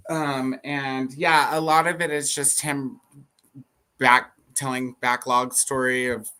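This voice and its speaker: A co-host chatting casually about books, who often restarts sentences and laughs softly. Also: medium pitch, little pitch movement, slow pace, clear, resonant tone. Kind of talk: casual chat.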